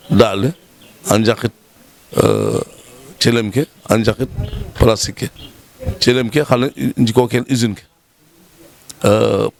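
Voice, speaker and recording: Man presenting at a local meeting; average pitch, deliberate pace, repeats key words, frequent filler pauses. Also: vocal fry. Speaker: official presenting a waste project at a municipal meeting. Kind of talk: formal speech mixing French terms.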